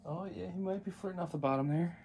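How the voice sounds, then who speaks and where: A man's voice: high voice, fast, a little mellow, slight background noise. Laid-back young man fishing with a friend. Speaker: fishing buddy. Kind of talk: casual chat. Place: small wood-walled ice fishing house.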